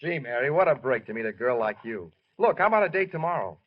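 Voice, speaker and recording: A man's voice: medium pitch, sing-song, fast talker, lo-fi sound. An actor playing a smitten, boyish young serviceman in a 1940s radio advertisement, on an old recording.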